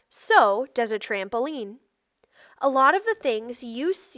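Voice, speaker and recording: Higher-voiced woman reading aloud, measured pace. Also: fairly bright tone, dry recording, clean studio sound. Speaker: read-aloud narrator. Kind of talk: children's non-fiction read-aloud.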